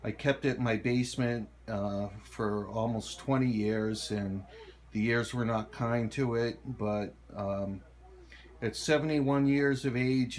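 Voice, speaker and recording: A middle-aged man giving a casual, halting show-and-tell as a hobbyist, unhurried and keen on the details. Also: mid-range voice. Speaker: hobbyist presenter of a radio collection.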